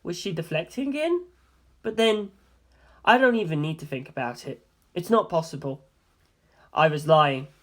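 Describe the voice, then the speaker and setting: A woman's voice: sits low, lively intonation, moderate pace, long pauses. A woman reading scripted story narration in the first person. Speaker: audiobook reader.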